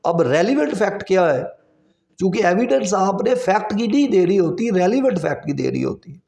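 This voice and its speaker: A man with a Pakistani accent giving a classroom law lecture, explaining deliberately with examples, rhetorical questions and English legal terms mixed in. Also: high-pitched, sing-song, gravelly, darkish tone. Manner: deliberate, didactic, repeating key terms and asking rhetorical questions.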